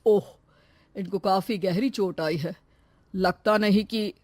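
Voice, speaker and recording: A woman voicing a calm male doctor in a cartoon story, speaking in a lowered, measured voice. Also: no echo, clean studio sound.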